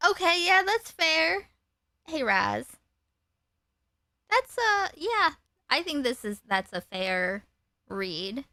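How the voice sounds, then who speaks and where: A woman's voice: high-pitched, big pitch swings, takes it slowly, pauses often, bright, forceful tone, no room sound. A woman hosting a live stream, chatting casually and meandering, with some casual swearing. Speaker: streamer host.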